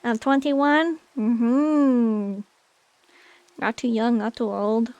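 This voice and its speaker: A chatty woman in her late twenties talks with her stream audience while playing a game. Her voice sits a little high, and she rambles loosely with plenty of fillers. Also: fairly even intonation, drawn-out words, a little mellow, lo-fi sound. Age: 20s. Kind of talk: casual chat with chat while gaming.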